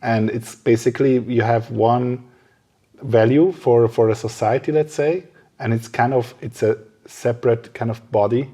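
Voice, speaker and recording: A man's voice: mid-range voice, fairly even intonation, normal speed, mellow, husky. A man hosting a casual podcast conversation, speaking hesitantly as he searches for his words. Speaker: podcast host.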